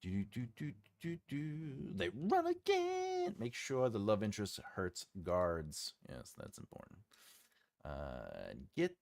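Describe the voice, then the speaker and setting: A man's voice: lowish voice, wildly swooping pitch, takes it slowly, creaky, darkish tone, dry recording. A man hosting a writing livestream, chatting casually and jokingly as he reads and answers viewer comments, rambling with lots of fillers.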